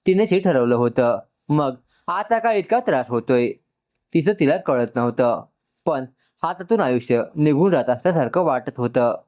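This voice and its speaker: A man's voice: mid-range voice, animated, normal speed. Guy w narrating a heartfelt story, mid voice, kinda lo-fi sound. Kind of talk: scripted narration of an emotional story.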